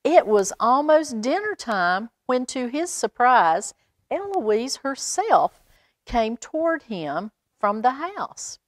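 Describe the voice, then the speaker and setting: An American woman reading a picture book aloud to young children in an expressive, unhurried way, with pauses and exclaimed asides. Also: a little high, no echo, clean studio sound. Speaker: storytime reader at a library.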